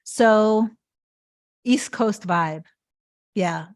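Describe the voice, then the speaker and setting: A woman's voice: mid-range voice, extremely slow. A woman coaching on a live stream, answering chat comments in an earnest, emphatic way and often repeating herself. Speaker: manifestation coach.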